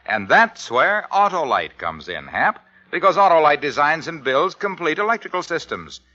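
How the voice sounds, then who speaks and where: Man, American, old-time radio ad, emphatic salesman, very bright tense tone, lively swinging pitch. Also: moderate pace. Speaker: radio commercial announcer. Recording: dull old radio recording.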